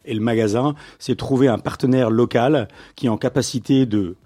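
A middle-aged man, a business owner, being interviewed and telling his career story in a relaxed conversational way, with frequent fillers and restarts. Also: medium pitch, moderate pace. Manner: hesitant, many fillers and restarts, livens up when amused.

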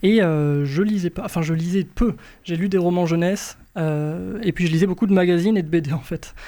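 A man's voice: sits high, fairly quick, mellow. A man in his twenties hosting a casual radio chat show, talking loosely with frequent hesitations. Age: 20s. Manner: hesitant, many fillers. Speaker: radio host.

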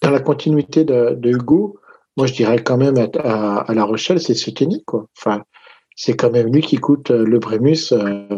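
A man's voice: medium pitch, normal speed, warm tone, husky, no room sound. A man on a rugby talk show, chatting casually and hesitantly with many fillers and restarts. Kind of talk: casual sports chat. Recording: dull lo-fi sound.

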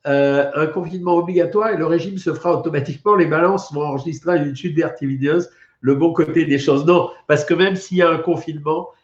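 A man's voice: on the high side, fairly even intonation, a little fast. A middle-aged man with a French accent who is a doctor, chatting on a live stream with a head cold, explaining things with many hesitations. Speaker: doctor hosting a weight-loss live stream. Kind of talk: casual live stream talk answering viewer questions. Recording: roomy, dull lo-fi stream audio.

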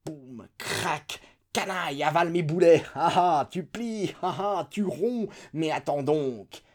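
A man's voice: normal speed, close to an everyday voice. A man voicing a raving, unhinged old general who bellows battle cries in a dramatic story reading. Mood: gloating. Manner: roaring battle cries, sudden high shouts.

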